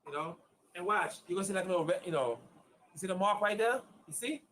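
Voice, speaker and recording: A man's voice: high-pitched, lively intonation, fast, a bit bright, slight background noise. A man of fifty, an acupuncturist, giving a casual online demonstration in a chatty, repetitive way and calling viewers y'all. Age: 50s. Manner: chatty, repeats himself, addresses viewers as y'all.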